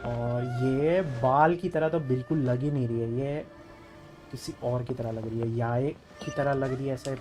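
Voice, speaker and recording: A man's voice: mid-range voice, steady tone, rapid-fire, darkish tone. A man with an Indian accent giving casual live gameplay commentary as a streamer. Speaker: game streamer. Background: game music.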